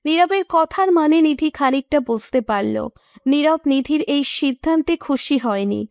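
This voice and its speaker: High-voiced woman narrating a story. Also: animated, a little slow, a little mellow, no echo, studio quality. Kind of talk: scripted romantic story narration.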